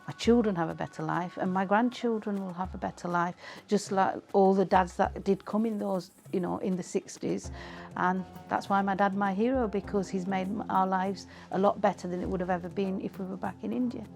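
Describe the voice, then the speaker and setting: A woman's voice: medium pitch, fairly even intonation, brisk, vocal fry, a little mellow, soft background music. A woman, reminiscing in a conversational, reflective way as she is interviewed. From England.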